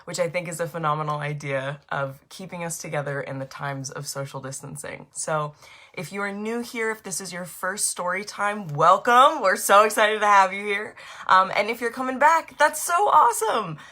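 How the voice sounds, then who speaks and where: A woman reading her storybook aloud to children in an animated, encouraging way, addressing young listeners directly. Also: fairly low pitch, normal speed.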